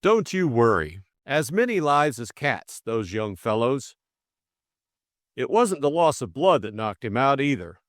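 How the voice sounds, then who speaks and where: A man voicing a calm, reassuring police superintendent in an audiobook, easy and unflappable. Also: mid-range voice, unhurried, no room sound, studio quality. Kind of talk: audiobook character acting.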